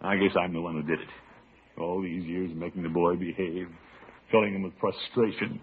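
A man's voice: low voice, steady tone, normal speed, natural voice. A man playing a blustery, fretful, pompous middle-aged uncle in an old radio comedy, with theatrical exclamations. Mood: worried, fretful. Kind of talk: 1940s-50s radio comedy acting. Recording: tinny, noisy old radio broadcast.

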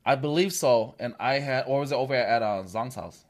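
A man's voice: mid-range voice, brisk. A man chatting loosely with friends, joking and swearing freely. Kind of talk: casual chat among friends.

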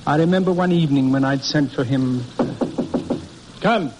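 Man acting a stern, higher-voiced judge father, old radio. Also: normal speed, over music, lightly acted. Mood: wistful, regretful. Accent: American. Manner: formal, exacting, dryly sarcastic. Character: stern, articulate middle-aged father, a New England judge. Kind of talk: old-time American radio drama acting. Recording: noisy vintage radio recording.

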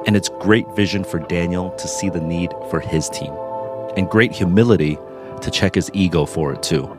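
Deep-voiced host narrating over music. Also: male voice. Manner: measured, instructive narration. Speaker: podcast host. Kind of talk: scripted narration and casual interview. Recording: music bed underneath.

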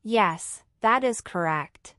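A woman's voice: medium pitch, expressive, takes it slowly, a bit bright, no echo, clean studio sound. A woman playing a polite married citizenship applicant in a scripted practice interview, answering formally and carefully in full sentences. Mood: polite, composed.

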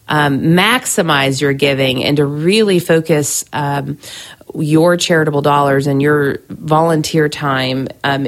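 Woman host, low voice, chatty solo podcast, recovering from a cold. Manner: chatty, frequent ums and restarts. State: recovering from a cold, scratchy throat. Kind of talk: casual solo podcast advice.

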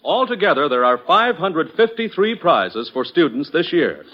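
A man's voice: on the high side, big pitch swings, moderate pace. An American man reading scripted sponsor announcements in the style of 1940s radio. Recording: dull vintage 1940s radio broadcast.

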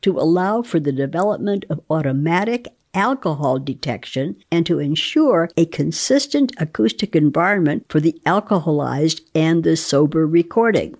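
A woman speaks in a lowish voice with very varied intonation. She reads research dramatically and explains it plainly and emphatically, as an expert guest. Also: animated, normal speed, hardly any pauses, a little mellow. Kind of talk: dramatic reading and informal explanation.

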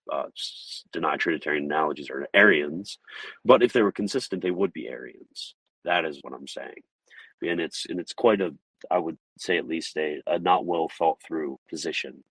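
Man, very low husky voice, dry sound, methodical livestream lecturer speaking from his car. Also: dry recording, low-quality recording. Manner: methodical, lays out numbered points and explains term by term. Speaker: livestream theology presenter. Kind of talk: informal spoken lecture to a small live audience. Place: inside a parked car.

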